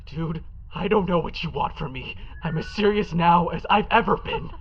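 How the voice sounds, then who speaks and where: An actor playing a fed-up, anguished young friend in a dramatic horror dialogue, pleading and heated, with a creaky voice. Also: medium pitch, moderate pace, background noise, lightly acted. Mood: exasperated. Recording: dull lo-fi clip audio.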